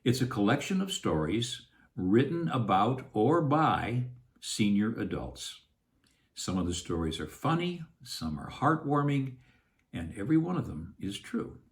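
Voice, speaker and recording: A man's voice: medium pitch, sing-song, a little slow. A man, an actor giving a scripted introduction to a program.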